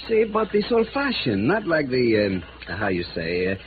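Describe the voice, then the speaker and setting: An actor playing a relaxed Venezuelan police chief in his thirties, speaking hesitant English with a Spanish accent in an old radio drama. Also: medium pitch. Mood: apologetic, relaxed. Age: 30s. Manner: unhurried, hesitant searching for English words. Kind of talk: 1950s radio drama acting. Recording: tinny, noisy vintage radio recording.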